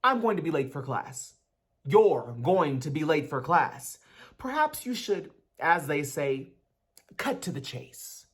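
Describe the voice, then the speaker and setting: Lady doing a nerdy know-it-all kid, blunt n formal, takes his time, pitch swooping around. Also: big pitch swings, slow pace, slightly rough, heavily acted. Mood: formal, matter-of-fact. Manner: pedantic, precise, formal wording. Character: Gordy, brainy pedantic awkward schoolboy, formal and blunt. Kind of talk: dramatic book reading.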